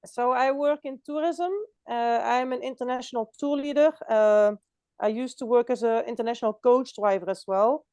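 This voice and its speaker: A woman's voice: on the high side, fairly even intonation, normal speed, mellow, clean tone, no room sound. A woman from France with a Dutch accent, chatting as a guest on a video call, often laughing and restarting her phrases. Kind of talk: casual online chat.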